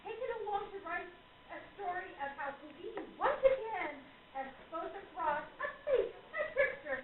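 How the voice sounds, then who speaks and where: Woman acting a very high-pitched, indignant spirit medium. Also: lively intonation, moderate pace. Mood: sly accusing. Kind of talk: stage radio play acting. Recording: echoey, noisy, tinny, far from mic.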